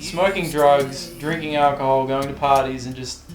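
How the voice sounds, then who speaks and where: A man's voice: medium pitch, fairly even intonation, moderate pace. A man from Tasmania with an Australian accent, talking casually and reflectively as an interviewed skater. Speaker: professional vert skater, interviewee. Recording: roomy echo, guitar music behind. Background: guitar music.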